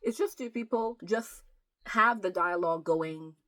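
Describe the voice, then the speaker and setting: A woman's voice: medium pitch, measured pace, sharp tone. A woman chatting casually as a book vlogger, talking emphatically and repeating words to stress them. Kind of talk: casual chatty vlog about writing.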